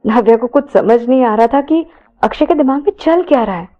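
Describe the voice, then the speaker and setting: A woman's voice: on the high side, lively intonation, a little fast, lo-fi sound, soft background music. A woman storyteller narrating a romance story in a dramatised style.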